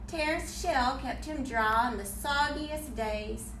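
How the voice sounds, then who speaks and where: A woman's voice: high-pitched, slow, a bit bright. A woman leading a children's library storytime and reading aloud, talking in simple, encouraging, teacherly phrases. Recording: roomy, distant mic, faint noise.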